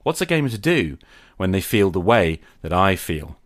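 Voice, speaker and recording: Low-voiced man hosting a reflective podcast. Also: sing-song, normal speed, loud music behind the voice. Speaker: podcast host.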